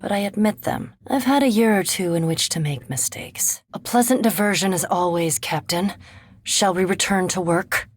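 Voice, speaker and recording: A woman voicing a centuries-old vampire scholar in a video game, speaking in an erudite, measured, formal way with composed curiosity and dry superiority. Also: mid-range voice, slightly rough, no room sound, natural voice. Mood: composed, pleasant. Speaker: animancy researcher and ship companion in a fantasy video game. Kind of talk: video game character dialogue.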